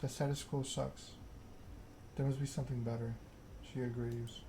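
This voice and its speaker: A man narrating a story game aloud in ASMR style, reading in a measured way with occasional stumbles. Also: mid-range voice, flat delivery, noisy background.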